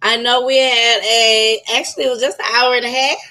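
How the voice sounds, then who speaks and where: A woman's voice: on the high side, fairly even intonation, a little fast, pushed and bright. A woman hosting a podcast in casual chat, repeating words as she thanks her listeners. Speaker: podcast host.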